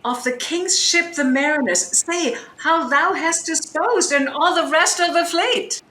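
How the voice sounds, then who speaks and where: A woman playing a commanding sorceress and mistress of spirits in a Shakespearean audio drama, with a grand, measured, authoritative delivery. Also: sits high, pushed and bright. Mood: businesslike. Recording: roomy remote recording with faint noise.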